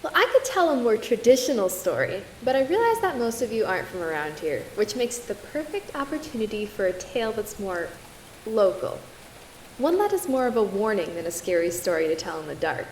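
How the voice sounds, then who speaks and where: A young woman acting as a sardonic, eerie campfire storyteller in a student audio drama, with dry, deliberate delivery. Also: medium pitch, very animated, a little mellow, a little hiss or hum, poor audio. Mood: ominous, measured. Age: young adult.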